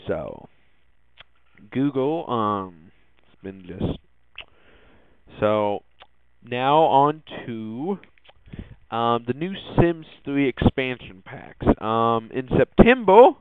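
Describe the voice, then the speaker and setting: A man hosting a casual tech and gaming news podcast, speaking in a halting, hesitant way and often repeating words. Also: medium pitch, big pitch swings, smooth, no room sound. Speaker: podcast host. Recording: tinny, phone-like narrowband sound.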